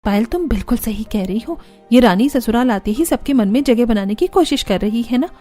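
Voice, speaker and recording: A woman's voice: fairly high pitch, expressive, fairly quick, dark tone, faint music behind. A woman voicing jealous, scheming young daughters-in-law in a cartoon, with a taunting, indignant manner.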